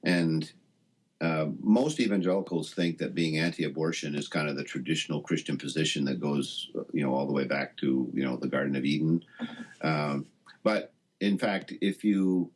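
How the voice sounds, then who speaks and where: A man, a professor being interviewed, explaining things conversationally and haltingly as he thinks aloud. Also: rumbling low, slightly rough. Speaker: interview guest, professor of science and religion.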